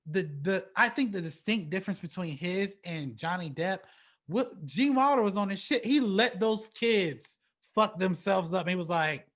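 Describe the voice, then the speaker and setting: A man with a slightly high voice hosts a casual film discussion. He speaks in loose, slangy, emphatic phrases with some swearing, often stuttering over a word and repeating it before he gets to his point. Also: expressive, normal speed, a bit bright. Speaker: talk show host. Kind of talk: casual movie commentary talk.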